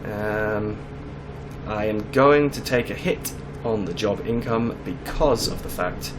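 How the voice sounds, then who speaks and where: A man's voice: lowish voice, takes it slowly, noisy background. A man with a slight lisp chatting casually with many fillers while playing a truck driving game. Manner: rambling and conversational, with many fillers. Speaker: gaming channel host. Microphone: desk microphone.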